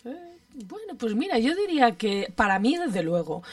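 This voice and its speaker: A woman's voice: fairly high pitch, sing-song, normal speed, distant mic, a crowd in the background. A middle-aged woman with an accent from Spain, talking candidly and casually, often hesitating and rephrasing as she confides. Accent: Spanish, from Spain. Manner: hesitant, self-correcting, confiding, question tags.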